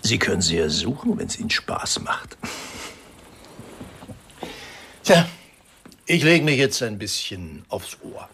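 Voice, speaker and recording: An actor playing a bitter, hard-drinking writer, sardonic, weary and dismissive, in a German radio crime drama. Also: male voice, on the low side, sing-song, measured pace, gravelly, a bit bright, a little hiss or hum, full character voice.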